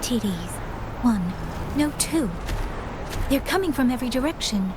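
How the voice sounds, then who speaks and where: A woman's voice: on the high side, expressive, unhurried, background noise. A woman voicing a vigilant, earnest young woman warrior in a video game, serious and caring, over music. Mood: alert. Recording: loud music behind the voice. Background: loud game music.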